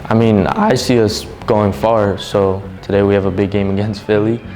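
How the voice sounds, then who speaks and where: A teenage male soccer player giving earnest interview answers with filler pauses. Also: on the low side, steady tone, darkish tone, slight background noise. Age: teens.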